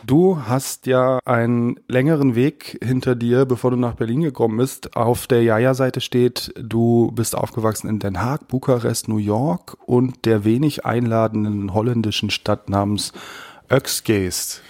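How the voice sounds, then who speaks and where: A man's voice: mid-range voice, steady tone, measured pace, a little mellow, dry recording, clean studio sound. A man hosting a podcast, introducing and interviewing a guest in a friendly, conversational way. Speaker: podcast host.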